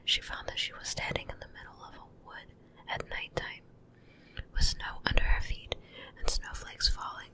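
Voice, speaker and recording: Softly whispering woman reading a story. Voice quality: soft breathy whisper. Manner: hushed, gentle, unhurried bedtime-story delivery. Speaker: ASMR reader. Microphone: close ear-to-ear stereo microphone.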